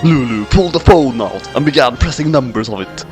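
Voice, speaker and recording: A man's voice: medium pitch, lively intonation, fairly quick, darkish tone. A man doing a dramatic narration of an amateur action story. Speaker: narrator reading a fan fiction aloud. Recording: music playing behind the voice. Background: music.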